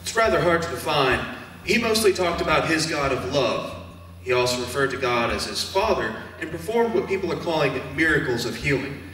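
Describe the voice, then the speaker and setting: A very echoey hall surrounds a man playing an insistent field reporter. His voice is high-set, lively and forceful as he presses for answers in a stage drama. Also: a little fast. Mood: grave, perplexed. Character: urgent, probing TV news reporter on the scene. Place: church hall stage performance. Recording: very echoey hall, distant mic.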